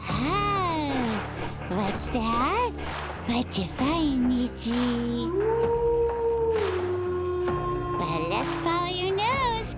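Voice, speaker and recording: A bloodthirsty she-monster in a horror audio drama. The voice is very high and swings wildly in pitch, yet it lingers slowly over words in a taunting, sing-song, giggling way. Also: heavily acted. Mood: sly, menacing. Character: gleeful bloodthirsty female monster hunting with a wolf. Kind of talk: horror audio roleplay acting.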